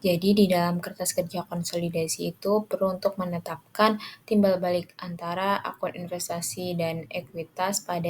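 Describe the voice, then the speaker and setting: A young woman giving an explanatory presentation as a student. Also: lowish voice. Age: young adult. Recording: clear background noise.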